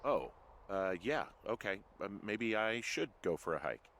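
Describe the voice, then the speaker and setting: A man's voice: fairly low pitch, sing-song, measured pace, fairly bright tone, a little hiss or hum, exaggerated voice. An actor playing a weary, plainspoken male fire lookout in a video game, speaking in a dry, hesitant, conversational way. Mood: weary, baffled. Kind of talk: naturalistic video game dialogue.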